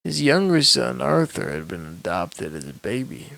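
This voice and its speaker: A man reading a bedtime story aloud as a storyteller, with measured, dramatic delivery. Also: mid-range voice, very animated, gravelly, a bit bright, no echo.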